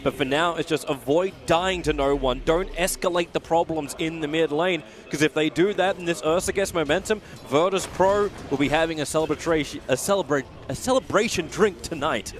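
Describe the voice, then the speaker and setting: A man with an Australian accent giving excitable play-by-play commentary on an esports match as caster. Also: fairly quick, hardly any pauses. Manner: excitable, rising pitch in big moments.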